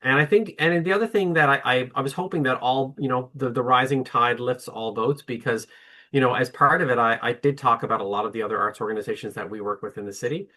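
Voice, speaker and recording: Male panelist, bright tone, halting with lots of fillers. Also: medium pitch. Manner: hesitant, many fillers and restarts. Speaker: orchestra representative. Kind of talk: webinar panel talk.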